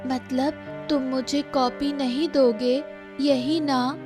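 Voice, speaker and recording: A woman's voice: high-pitched, steady tone, slow, darkish tone, faint music behind. A woman voicing a stubborn village schoolgirl in a cartoon story, pleading plaintively. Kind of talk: Hindi cartoon story voice acting.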